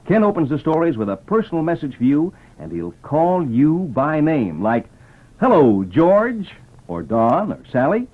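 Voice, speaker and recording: Male vintage radio pitchman, highish voice, swooping emphasis, enthusiastic, narrow muffled old recording. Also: sing-song, unhurried. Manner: emphatic, enthusiastic salesman delivery. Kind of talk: 1950s radio announcing for children.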